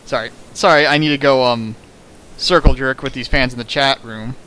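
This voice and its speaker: A man's voice: medium pitch, steady tone, moderate pace, pushed and bright, clean tone, rough recording. A man reading a fan fiction aloud on a stream and mocking it with sarcastic, exasperated commentary. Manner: sarcastic, exasperated, shifts into mock voices. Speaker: fanfic reader and riffer. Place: home streaming setup.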